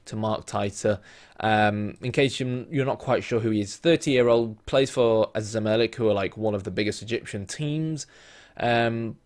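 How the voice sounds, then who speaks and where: Guy commentating his football manager save, voice going a bit, chatty with lots of ums. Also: on the low side. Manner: chatty, thinks aloud with many fillers, bursts into excited play-by-play. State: losing his voice. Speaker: gaming YouTuber.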